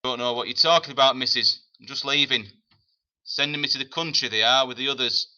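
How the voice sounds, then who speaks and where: Man voicing a scrappy, defiant lad in an audiobook, quick and bright. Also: mid-range voice, fairly even intonation, voice pushed up, rough recording. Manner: snappy, defiant. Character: defiant young boy, angry and cocky.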